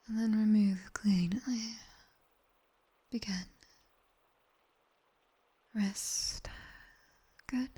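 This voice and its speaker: A British woman playing a sharp-tongued, strict and teasing demon tutor in a breathy, commanding whisper for ASMR roleplay. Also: mid-range voice, exaggerated voice. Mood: exacting, teasing. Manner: slow, measured, commanding.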